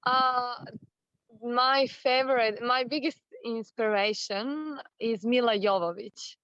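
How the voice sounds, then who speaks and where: A woman from Serbia with a Serbian accent, a native Serbian speaker, talking casually and haltingly as an interview guest. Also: on the high side, a bit bright, clean tone, no room sound. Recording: slightly dull, lo-fi sound.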